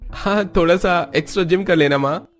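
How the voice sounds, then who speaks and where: A man's voice: sits high, a little fast, soft background music, pitched above the performer's own voice, natural voice. A man voicing a cheerful, easygoing young husband and devoted son in a cartoon. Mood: teasing.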